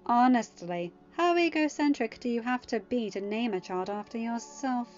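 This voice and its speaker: Woman doing a stuck-up old queen grandma, scoffing and haughty, a bit muffled with soft music behind. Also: fairly high pitch, expressive, normal speed, lightly acted. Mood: indignant. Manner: disdainful, scoffing. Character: haughty older female queen, snobbish grandmother.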